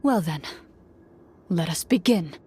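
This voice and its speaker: A woman's voice: fairly low pitch, animated, slow pace, mellow, breathy edge, heavily acted. A composed woman game master. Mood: measured, solemn. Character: composed, dutiful woman running a role-playing game as narrator. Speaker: game master narrating a tabletop game. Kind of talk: acted video game dialogue. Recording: faint music underneath.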